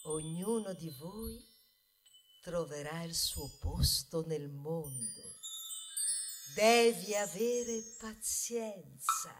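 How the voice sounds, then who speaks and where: A woman voicing a soothing, optimistic mother of bioplastics, gentle and measured, in a theatrical radio monologue. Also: on the low side, expressive, music in the background, voice pushed down. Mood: tender, reassuring.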